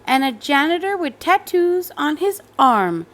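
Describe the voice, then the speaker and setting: A woman voicing a stubborn, eager little schoolgirl in a children's storybook, with drawn-out, insistent delivery. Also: high-pitched, very animated, a bit bright, voice pushed up, heavily acted. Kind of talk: children's storybook read-aloud.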